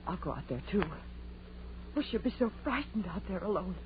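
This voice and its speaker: A woman's voice: medium pitch, big pitch swings, fairly quick. An actress playing a frightened, pleading young woman in an old-time radio horror drama, anxious and imploring. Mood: anxious, panicked. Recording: tinny, noisy vintage radio recording.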